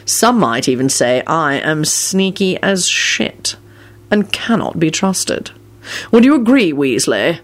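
A solemn, smooth-talking man of authority from a storybook, voiced by a woman. He is measured and quietly intimidating, toying with his listener in sly, drawn-out phrases. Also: on the low side, big pitch swings. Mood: mock-solemn.

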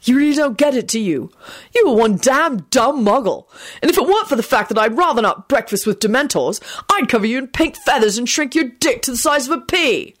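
A woman's voice: medium pitch, big pitch swings, a little fast. A woman voicing a scornful, foul-mouthed young male prankster wizard in a story reading. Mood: furious disgust. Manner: scornful, mocking, taunting.

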